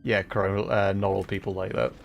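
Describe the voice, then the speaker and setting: Low-voiced man chatting casually on a stream. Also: flat delivery, moderate pace, creaky, slight background noise. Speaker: livestream host, VTuber and audio voice performer. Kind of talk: casual livestream chat while playing a video game. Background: music behind the voice.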